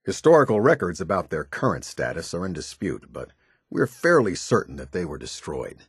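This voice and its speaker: An American man playing a stern, formal military officer in a video game, speaking with husky, clipped, measured authority. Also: low-pitched, huge pitch swings, no echo, clean studio sound, full character voice. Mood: measured, grave briefing. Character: stern, formal male military officer of a post-apocalyptic order. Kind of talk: video game character dialogue.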